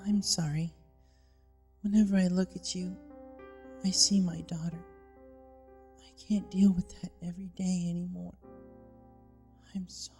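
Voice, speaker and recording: A man's voice: high voice, level tone, measured pace, creaky, pauses often, darkish tone, voice pushed up. A man voicing a grieving, weary wife and mother pony in a story, subdued and sorrowful. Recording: long reverb, music underneath.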